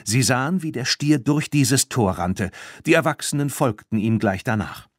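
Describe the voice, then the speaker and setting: A man narrating a children's audiobook in an animated storytelling manner. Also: mid-range voice, moderate pace, no room sound, clean studio sound. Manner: animated storytelling, acting out dialogue.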